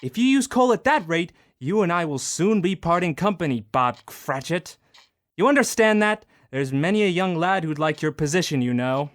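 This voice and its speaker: An actor playing a bitter, miserly old moneylender in a student radio drama, snarling and barking scornful orders. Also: male voice, on the high side, lively intonation, moderate pace, natural voice. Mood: scolding.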